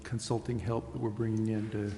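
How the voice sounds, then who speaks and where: A man's voice: fairly low pitch, level tone, brisk, dark tone. An American man giving a plain status update as a city official at a meeting. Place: council chamber. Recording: echoey hall, faint noise.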